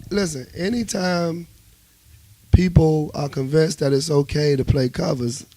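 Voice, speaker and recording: A man's voice: fairly high pitch, unhurried. A man with a Washington, DC accent talking casually and emphatically as a musician guest in a radio interview, often checking that he is understood. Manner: emphatic, animated storytelling with repeated check-ins.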